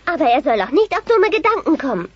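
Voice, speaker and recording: An excitable teenage dinosaur girl in a comedy audio play. Her voice is very high and girlish, with lively swings in melody and a cheeky, boy-crazy temper. It sounds like a dull tape transfer with some hiss. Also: quick pace, no echo. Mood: eager. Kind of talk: German audio play dub of comedy series.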